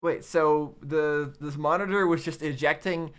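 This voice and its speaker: A man giving casual, thoughtful reaction commentary, thinking aloud in a halting way. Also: a little high, fairly even intonation, clean tone. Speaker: reaction video host.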